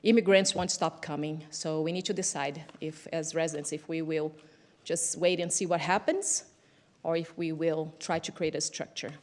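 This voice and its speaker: Low-voiced Brazilian woman addressing a meeting. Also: fairly even intonation, moderate pace, a little mellow. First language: Portuguese. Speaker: English teacher, public commenter. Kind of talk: public comment speech at a council meeting.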